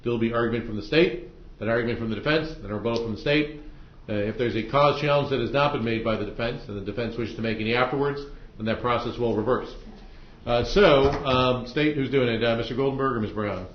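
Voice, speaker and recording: A man's voice: mid-range voice, quick pace. An American man speaking as a judge, running jury selection in a casual, plain-spoken way with informal questions and instructions. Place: courtroom. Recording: echoey courtroom, muffled, faint noise.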